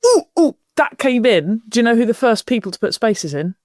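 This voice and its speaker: A woman's voice: fairly high pitch, sing-song, a little fast, darkish tone, dry recording. Chatty woman podcast host, very dry sound. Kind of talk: casual, chatty podcast talk.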